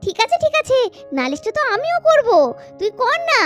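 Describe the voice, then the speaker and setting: A woman's voice: extremely high pitch, sing-song, a little fast, soft background music. A woman voicing a little schoolgirl doll in a children's cartoon, chatty and bickering in a childish, colloquial way. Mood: bickering, sassy. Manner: childish, colloquial scolding and teasing.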